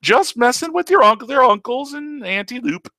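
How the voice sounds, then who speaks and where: A man's voice: very high voice, animated, moderate pace, pushed and bright, dry recording, clean studio sound, voice pushed up, full character voice. A man voicing a cocky, ancient immortal man who teases everyone breezily. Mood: scheming. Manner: breezy and teasing.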